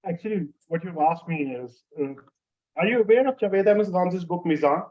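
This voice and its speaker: Man teaching, slightly high voice. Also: moderate pace, highs cut off. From Lahore, Pakistan. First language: Urdu. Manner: explanatory, steady, repeats key phrases. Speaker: instructor. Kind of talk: answering a student's question in an online class.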